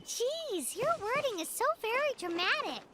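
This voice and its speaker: A woman voicing a tiny, excitable floating companion girl in a video game, with a squeaky childlike voice and exclamatory manner. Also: normal speed, background noise. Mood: puzzled. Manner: speaks of herself in third person, exclamatory. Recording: loud background music.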